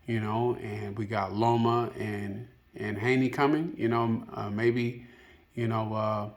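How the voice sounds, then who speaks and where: A man's voice: lowish voice, fairly even intonation, slow pace, creaky. A man giving casual, unscripted opinion commentary as a video host, rambling and hesitant, often padding with filler phrases. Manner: hesitant, rambling, peppered with "you know".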